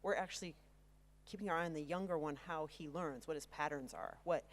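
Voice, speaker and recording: Wry woman panelist with a handheld mic. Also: low-pitched. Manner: quick, wry and self-deprecating. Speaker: panelist, ed tech CEO. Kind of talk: conference panel discussion. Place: large conference stage.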